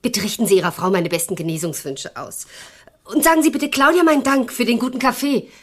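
Radio play: woman as cheerful, chatty guest, husky tone. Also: on the high side, a little fast, lightly acted. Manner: chatty, laughs easily. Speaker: visiting acquaintance. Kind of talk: 1980s radio drama acting.

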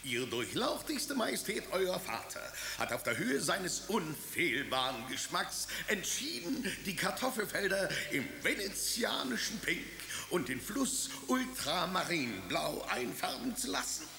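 An actor playing a servile, obsequious court servant in a radio play, with flowery, overly formal speech. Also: male voice, high-pitched, sing-song, a little slow, vocal fry, hardly any pauses, reverberant, noisy background, full character voice. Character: fawning male court servant, ornate and servile. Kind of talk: radio drama acting.

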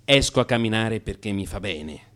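A man's voice: on the low side, animated, moderate pace. An actor playing an anxious married man in crisis, confessing to his analyst in an agitated, emphatic radio theatre monologue. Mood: restless. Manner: agitated, emphatic, swinging from hushed mutter to outburst.

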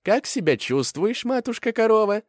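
A little trickster rabbit from a storybook, pitched high and very lively. He is sweetly polite and wheedling, always sounding slyly pleased with himself. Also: moderate pace, dry recording, studio quality. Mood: mock-sweet. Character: small cunning chirpy male rabbit, sweetly polite trickster. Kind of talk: animated fairy-tale character voice.

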